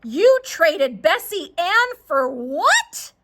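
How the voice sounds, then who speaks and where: A woman's voice: extremely high pitch, big pitch swings, extremely slow, background noise, voice pushed up, exaggerated voice. A woman voicing a poor, worried farm mother in a children's story, stern and emphatic. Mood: incredulous.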